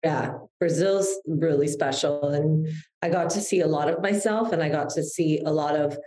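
Podcast guest, woman in her 30s, low mellow voice, reflective and heartfelt, recorded in an echoey room. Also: moderate pace. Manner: reflective, heartfelt, with frequent restarts. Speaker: guest, publicist. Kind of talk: podcast interview.

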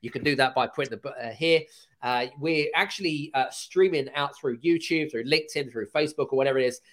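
A man hosting a podcast with a croaky voice, energetic and rambling, with many fillers and restarts. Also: medium pitch, a little fast. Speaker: podcast host. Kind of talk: casual chat.

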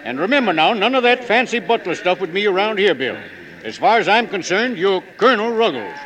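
An actor playing a hearty, folksy rustic American frontier millionaire in a 1940s radio comedy. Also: male voice, high voice, big pitch swings, a little fast, a bit bright, rough recording. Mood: jovial, boisterous. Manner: folksy, jovial, exclamatory. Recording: old 1940s radio broadcast.

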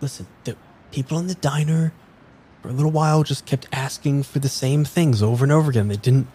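A man's voice: medium pitch, steady tone, brisk, warm tone, slightly rough, lightly acted. Cynical, foul-mouthed young diner server played by a man. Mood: weary, sardonic. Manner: blunt, exasperated, sweary. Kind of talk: improvised audio drama dialogue.

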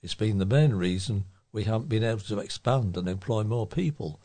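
A man reads local newspaper pieces aloud in a fairly low voice. His tone is a little husky, and the delivery is that of a volunteer reader working from a written text. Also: animated, a little fast, mellow.

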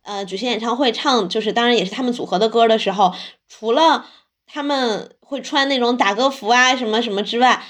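Woman on a podcast, slightly high voice, casual chatty style. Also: fairly quick. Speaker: podcast host. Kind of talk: casual chat.

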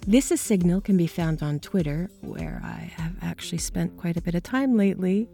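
A woman's voice: on the low side, normal speed, gravelly, dark tone, faint music behind. A woman making a scripted outro announcement for a podcast. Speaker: podcast cast member reading outro.

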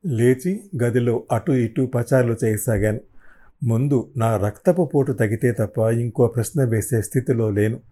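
A male audiobook narrator with a dark, mellow tone and a medium pitch. He tells the story dramatically in the first person. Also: normal speed.

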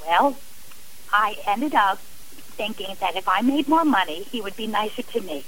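A woman's voice: mid-range voice, lively intonation, unhurried, a bit bright, a little hiss or hum, low-quality recording. A middle-aged woman guest on a radio interview, speaking earnestly and from the heart about her own experience, often restarting her sentences. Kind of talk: radio interview, personal account.

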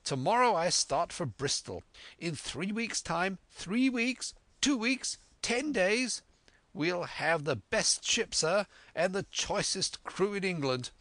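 A man voicing an excitable, blustering old country squire in an audiobook, eager and boastful. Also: mid-range voice, slow, creaky, no room sound, heavily acted. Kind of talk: audiobook character voice.

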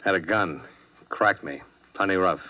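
Tough wisecracking detective in old radio drama, dry clipped delivery, kinda low voice, muffled sound. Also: male voice, measured pace. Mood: clipped, businesslike. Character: wry, tough male insurance investigator. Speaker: detective lead and narrator. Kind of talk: 1950s radio drama narration and acting.

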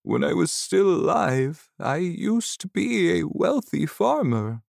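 A man voicing a frail, gentle old farmer's ghost, telling his tale slowly and deliberately in a storybook narration. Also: fairly high pitch, big pitch swings, gravelly, no room sound, clean studio sound, pitched above the performer's own voice, heavily acted. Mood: wistful, recounting. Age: older.

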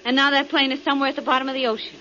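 An actress playing an eager, inquisitive companion of a detective in an old radio mystery. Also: female voice, sits high, little pitch movement, racing, bright, forceful tone. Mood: curious. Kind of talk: 1940s radio crime drama acting. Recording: muffled, noisy old-time radio recording.

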